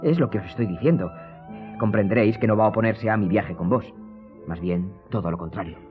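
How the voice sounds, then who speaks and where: Man playing an earnest young viscount, low voice. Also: brisk, soft background music, lightly acted. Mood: earnest, admiring. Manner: hesitant, earnest, polite. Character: earnest, polite young viscount, admiring friend. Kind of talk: Spanish radio drama acting. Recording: dull lo-fi radio recording.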